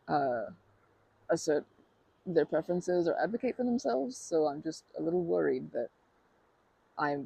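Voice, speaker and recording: A woman's voice: deep voice, creaky, mellow, breathy edge, no echo, low-quality recording. A woman doing an ASMR roleplay as a friendly stranger who talks to the listener, rambling and hesitant, often restarting and correcting herself in an earnest, chatty way. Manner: friendly and earnest, with light laughs.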